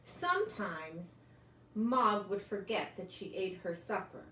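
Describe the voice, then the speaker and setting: Older female storyteller for children's read-aloud; lively pitch, expressive, chatty asides, roomy home sound with faint noise. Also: slow pace. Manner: expressive, lingering on words, talking as to small children. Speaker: storyteller reading a picture book aloud.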